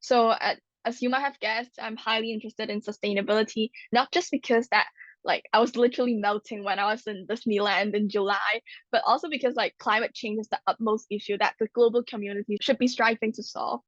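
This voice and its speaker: A woman's voice: fairly high pitch, fairly even intonation, brisk, a bit bright, no room sound, muffled recording. A young woman from Hong Kong co-hosting a student podcast in casual chat, with frequent "like" fillers. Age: young adult. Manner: chatty, many "like" fillers. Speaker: podcast co-host.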